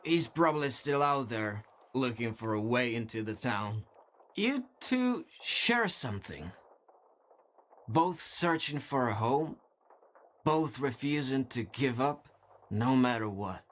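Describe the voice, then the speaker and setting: A weary, remorseful wanderer in a video game drama. He talks slowly in a mid-pitched voice, earnest and wistful, like someone devoted to a cause he must atone for. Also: animated, fairly bright tone. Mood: reflective, grateful. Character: weary, remorseful man devoted to a mysterious zone.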